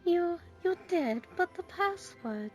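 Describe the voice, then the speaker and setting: A woman's voice: extremely high pitch, expressive, takes it slowly, darkish tone, soft background music, full character voice. A woman playing a stern, exasperated older schoolteacher in an audio drama, formal and scolding. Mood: shocked, suspicious. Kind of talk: fan audio drama acting.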